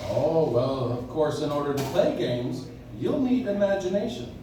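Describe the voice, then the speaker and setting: A man's voice: fairly high pitch, lively intonation, normal speed, close to an everyday voice. A man playing a kindly, playful old man with a jolly, old-fashioned, encouraging manner in a live radio play. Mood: whimsical, patient. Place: live stage in a coffee house. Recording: noisy, echoey audience recording from far off.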